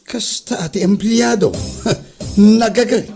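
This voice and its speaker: A man's voice: high-pitched, slow, gravelly, music in the background, full character voice. A man playing a bossy but jovial office superior in a comedy drama, with a Filipino Ilocano accent. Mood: bossy, pleased. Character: bossy, jovial male office superior ordering staff about.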